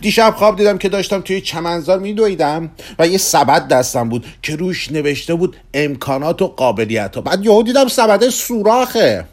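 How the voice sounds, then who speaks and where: A man's voice: sits high, lively intonation, few pauses, pitched above the performer's own voice. A man doing a whiny, love-hungry professor. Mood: pleading, restless. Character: restless middle-aged male professor craving romance.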